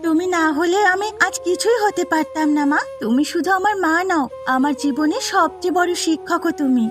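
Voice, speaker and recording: A woman plays a young policewoman in a cartoon fairy tale. Her voice is notably high, and she speaks with earnest respect and gratitude. Light music sits underneath. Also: normal speed. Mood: earnest, grateful. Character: devoted grown daughter, earnest young policewoman.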